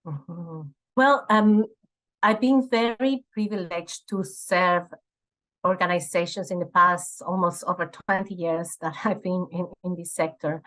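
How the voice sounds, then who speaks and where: A woman in her forties from Ecuador, answering interview questions in an earnest, halting way with many fillers and repeated words. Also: lowish voice, fairly bright tone, rough recording. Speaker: guest, nonprofit fundraising director.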